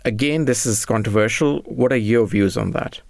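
A man's voice: medium pitch, moderate pace, gravelly, dry recording. A man hosting a live radio interview from a studio, talking with many fillers and restarts. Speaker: radio show host. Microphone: studio microphone.

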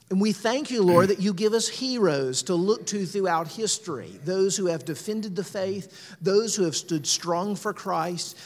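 Earnest pastor in a reverberant hall. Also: male voice, sits high. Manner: warm, earnest, many fillers. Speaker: pastor introducing a guest speaker. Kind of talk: church introduction and spoken prayer. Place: large church room.

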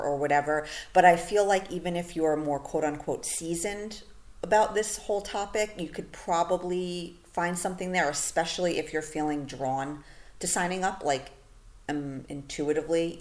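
A woman with a low voice hosts her own podcast alone. She talks in a loose, chatty way with plenty of fillers. Her voice is going a little hoarse as she is losing it. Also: darkish tone. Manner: casual, chatty, lots of fillers like "you know" and "like". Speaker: podcast host. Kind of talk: solo podcast monologue.

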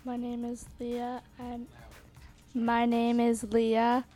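A woman's voice: fairly high pitch, completely flat delivery, takes it slowly, mellow, faint music behind. A ten-year-old girl camper introducing herself shyly. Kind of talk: casual chat.

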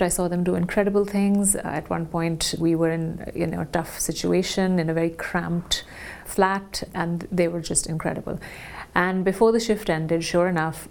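A woman's voice: fairly low pitch, creaky, a little mellow. A woman from England who works in the health service, giving a reflective personal account with frequent restarts and hesitations. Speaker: health service staff member.

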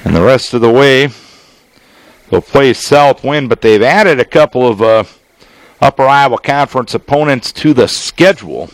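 A man with an American accent giving live baseball play-by-play on the radio, in measured, descriptive phrases with pauses between them. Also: mid-range voice, animated, dry recording, poor audio. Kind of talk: live sports play-by-play commentary.

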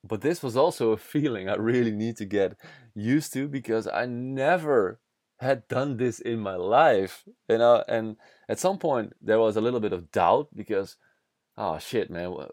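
A man's voice: lowish voice, a little slow, a little mellow, no room sound, clean studio sound. A young man with a Dutch accent telling a candid, casual story on his own podcast, swearing now and then and restarting sentences. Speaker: podcast host.

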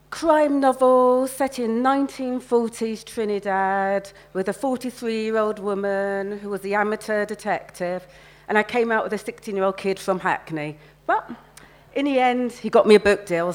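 A woman, an author reading first-person fiction aloud expressively at a live event. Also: mid-range voice.

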